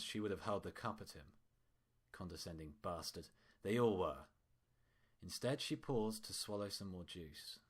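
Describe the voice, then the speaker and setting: Male story narrator, fairly low register, reading scripted fiction.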